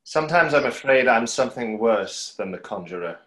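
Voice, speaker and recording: Man acting a wistful, animated stage conjurer, echoey. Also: medium pitch, fairly quick, natural voice. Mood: troubled, confessional. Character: wistful, self-doubting stage conjurer, an earnest adult man. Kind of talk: stage play read aloud over video call. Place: small home room, on a video call. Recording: roomy echo of a video call.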